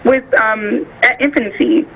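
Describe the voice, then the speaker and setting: A woman's voice: on the high side, measured pace, husky. An American woman speaking by phone as a nutritionist guest, explaining things informally and illustrating points with imagined quotes. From United States. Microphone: phone call. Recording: bright voice over phone line.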